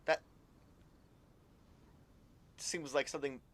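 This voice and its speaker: A man giving casual, halting live commentary on a game, musing aloud and trailing off into asides. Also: a little high, steady tone. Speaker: game streamer. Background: faint game music.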